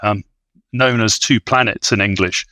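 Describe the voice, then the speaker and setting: A man's voice: fairly low pitch, a little slow, bright, forceful tone, no room sound. A man speaking as an expert podcast guest in an academic discussion, with hesitant, scholarly, wordy phrasing.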